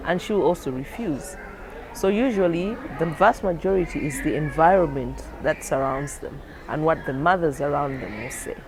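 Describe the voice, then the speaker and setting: A woman's voice: low voice, moderate pace. A woman clinician speaking conversationally as she explains things for a training film.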